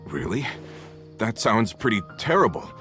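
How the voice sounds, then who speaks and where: A man's voice: fairly low pitch, very animated, a little slow. An actor playing a tired, plain-spoken working man and father in a game, with faint music behind. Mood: weary. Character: weary working-class carpenter father, adult man. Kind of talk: game voice acting.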